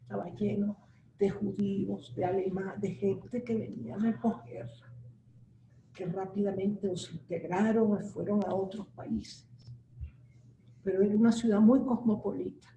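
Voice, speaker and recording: Older woman, dark voice, video call. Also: medium pitch, steady tone, unhurried. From Italy. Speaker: writer, panelist. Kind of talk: reflective discussion. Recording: dull echoey call audio with faint noise.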